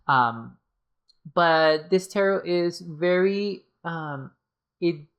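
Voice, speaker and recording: A woman's voice: on the low side, very slow, smooth. A woman giving a casual video review, talking hesitantly with many fillers and restarts as she loses her voice. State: losing her voice. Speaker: tarot deck reviewer.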